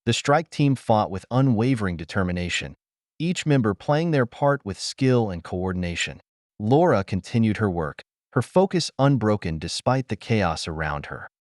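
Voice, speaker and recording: A man's voice: lowish voice, sing-song, moderate pace, a little mellow, no echo, clean studio sound. A man reading a science fiction adventure story as an audiobook narrator, in a dramatic, earnest manner.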